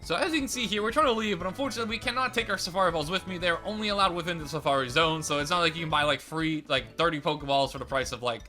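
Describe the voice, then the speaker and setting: A young man commentating a video game playthrough in a chatty, rambling way, his voice slightly raspy and crackly today. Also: a little high, very fast, few pauses, bright, forceful tone, faint music behind. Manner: rambling, chatty, self-correcting. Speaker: let's play host.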